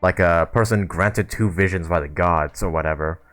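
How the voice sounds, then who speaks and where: A man's voice: sits low, normal speed, a bit bright, soft background music. A man from Canada giving informal, slangy let's play commentary as he muses over a game's story. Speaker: gaming video host.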